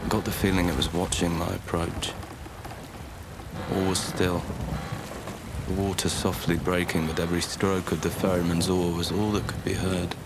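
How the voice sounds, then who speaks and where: A man playing a brooding inquisitor who narrates his grim journey in a video game, measured, somber and gravelly. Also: sits low, little pitch movement. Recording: noisy rain and water ambience behind.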